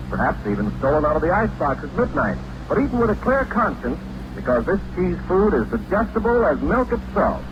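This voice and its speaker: A man's voice: on the high side, dull audio, noisy background. A man announcing a scripted commercial in 1940s radio style, on an old recording.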